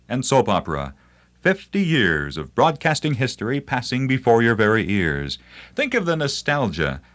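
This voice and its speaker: A man's voice: mid-range voice, a bit bright. A man presenting a scripted promotional narration about old radio, with a wry, nostalgic manner.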